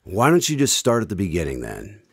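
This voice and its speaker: A man's voice: low voice, wildly swooping pitch, a little fast, slightly rough, dry recording, heavily acted. Folksy radio-drama announcer male actor: affable, curious, big melodic swings, tight dry studio sound. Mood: friendly, curious. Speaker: announcer and host. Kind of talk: old-time radio announcing.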